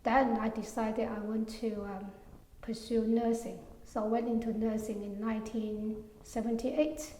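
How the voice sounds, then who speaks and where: A woman's voice: fairly high pitch, little pitch movement, unhurried, mellow. A middle-aged woman from Hong Kong, recalling her life in an oral history interview, hesitant with many fillers and endings that trail off. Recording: echoey room with long reverb.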